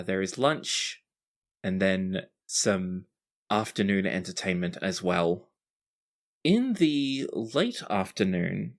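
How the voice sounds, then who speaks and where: A man speaking conversationally as game master of a tabletop roleplaying game, setting scenes and prompting the players. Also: lowish voice.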